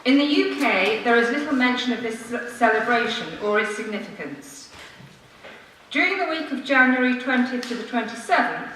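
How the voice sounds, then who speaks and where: A woman reads a formal prepared speech to a council meeting, measured and earnest, with emphatic phrasing. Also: a little high, pushed and bright. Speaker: member of the public addressing a city council. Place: large council meeting room, indoors. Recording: echoey room from far off, faint noise.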